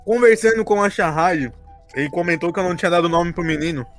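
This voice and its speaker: A Brazilian man chatting casually on a podcast as he recaps a tabletop game, joking and quick to laugh. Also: high-pitched, a bit bright, faint music behind. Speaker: game master of a tabletop RPG campaign, podcast member.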